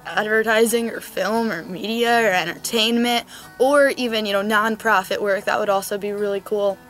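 A nineteen-year-old woman talking casually and earnestly to the camera, filmed outdoors in a cold, sunny yard with a camera mic. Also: fairly high pitch. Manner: chatty, earnest, quick asides. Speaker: vlogger. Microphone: camera mic, medium shot.

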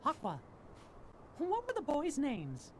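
A man voicing an earnest, heroic little mouse king in a video game, in a high falsetto. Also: huge pitch swings, slow, slight background noise, exaggerated voice. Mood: concerned, curious. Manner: earnest, urgent. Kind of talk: video game cutscene acting.

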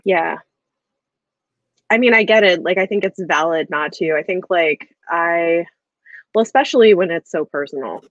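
Woman, thirties, book livestream host: lowish voice, rambling and informal, close dry sound with slightly muffled video-call quality. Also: normal speed, pauses often, a bit bright, no echo. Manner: hesitant, rambling with frequent "like". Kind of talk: casual livestream book chat. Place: small room at home, video call. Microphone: earbuds on a video call. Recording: dull video-call audio.

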